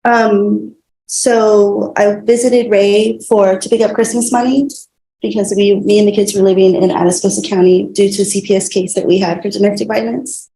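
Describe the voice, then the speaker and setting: A woman on the witness stand with a mellow, creaky voice. She answers informally and haltingly. The courtroom audio carries faint noise. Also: mid-range voice, fairly even intonation, low-quality recording. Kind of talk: courtroom testimony.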